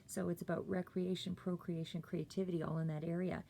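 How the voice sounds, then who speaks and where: A woman doing a casual, rambling tarot reading, a little hoarse and clearing her throat, with many fillers and restarts. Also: on the low side, little pitch movement, fairly quick, dark tone. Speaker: tarot reader.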